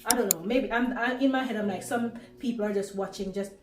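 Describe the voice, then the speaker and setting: A woman's voice: medium pitch, a little fast, far from the mic. A Jamaican woman in her twenties talking casually about her life while she cooks at home, chatty and rambling with small restarts. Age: 20s. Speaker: small YouTuber. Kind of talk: casual talk while cooking. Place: home kitchen. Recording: roomy, from off the mic, faint music.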